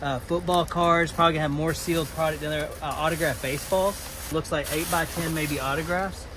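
A man in his thirties filming himself in a metal storage unit, giving excited running commentary as he digs through boxes of collectibles. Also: a little high, a little fast. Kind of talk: casual vlog narration. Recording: noisy storage unit ambience.

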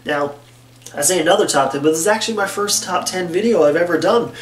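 A man's voice: high-pitched, fast, a little hiss or hum. A young man in his twenties, nasal from the flu, talking casually and animatedly to camera as the host of a gaming channel in a small bedroom. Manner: animated, gesturing with both hands. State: nasal, getting over the flu. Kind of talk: casual direct-to-camera talk. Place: small bedroom with posters on the wall. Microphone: webcam or camera a few feet away. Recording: roomy, distant camera audio.

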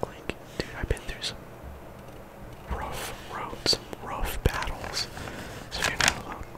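A soft-spoken ASMR creator, sick at the moment, whispering a casual, earnest ramble full of slang and fillers. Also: takes it slowly. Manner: earnest encouragement.

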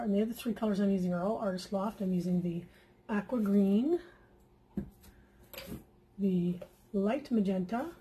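A woman's voice: mid-range voice, slight background noise, low-quality recording. A woman hosting a paint-pouring channel, chatting casually at home as she works at her craft table. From Canada. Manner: chatty and relaxed, with fillers and asides. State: feels she is losing her voice. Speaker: acrylic pouring art channel host. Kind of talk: casual tutorial chat while painting.

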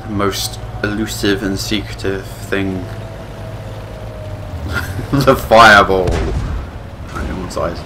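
A man's voice: deep voice, steady tone, drawn-out words, long pauses, noisy background. A British man giving casual video game commentary, dry and self-deprecating, rambling along to himself. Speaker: gameplay commentator. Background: video game vehicle and battle sounds.

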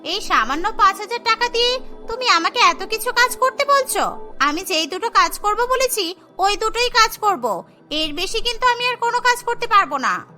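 A woman's voice: very high-pitched, animated, normal speed, bright, forceful tone, faint music behind, full character voice. A woman voicing a sassy, argumentative housemaid who talks back and haggles in a cartoon. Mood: defiant. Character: sassy, argumentative young housemaid demanding extra money.

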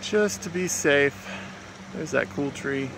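A man's voice: a little high, slow, creaky. A man in his thirties talks casually and a little hesitantly to a handheld phone as a travel vlogger at a forest campsite. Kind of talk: casual narration to camera. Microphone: handheld phone at arm's length. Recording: steady outdoor ambient noise.

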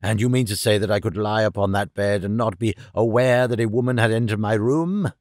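Storybook villain: elderly Russian male professor played by a man, theatrical, scornful, agitated, lowish voice. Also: brisk, a little mellow, no echo, clean studio sound, lightly acted.

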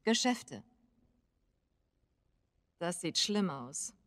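Low-voiced, tough smuggler. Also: female voice, big pitch swings, extremely slow, full character voice. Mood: businesslike, stubborn. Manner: curt, tough. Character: hard-nosed adult female smuggler, tough and unyielding. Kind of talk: dramatic video game acting, German dub.

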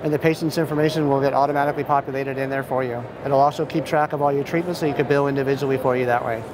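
A man's voice: medium pitch, fairly even intonation, very fast. An American man in his thirties giving an informal product demo, explaining step by step in a matter-of-fact way. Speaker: software company representative giving a product demonstration. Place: large indoor expo hall. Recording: noisy busy trade show floor. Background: clear ambient hall noise.

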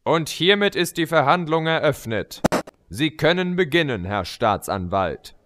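A man's voice: fairly high pitch, sing-song, a little slow, fairly bright tone, slight background noise. A man playing a formal, easily exasperated judge keeping order in a comedy courtroom audio play.

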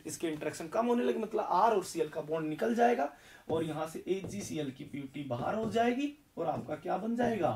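Hoarse young man teaching chemistry. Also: high voice, a little mellow, distant mic, a little hiss or hum. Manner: explains step by step, asks questions and answers them himself. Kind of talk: whiteboard lecture. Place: indoor classroom in front of a green board.

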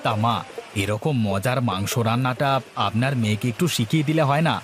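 A man voicing a fretful, food-loving village husband in a cartoon, with an exclamatory, stammering, drawn-out delivery. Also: mid-range voice, lightly acted. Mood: sheepish. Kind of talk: cartoon dialogue acting. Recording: music bed behind voice.

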